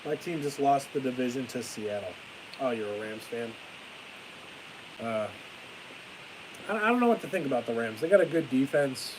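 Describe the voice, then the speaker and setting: A young man chatting on a live stream with a stuffy nose and a fading voice, ranting excitably and then trailing off into tired mumbles. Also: medium pitch, moderate pace, pauses often. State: stuffy-nosed, losing his voice. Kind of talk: casual live stream chat. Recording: hissy home stream mic.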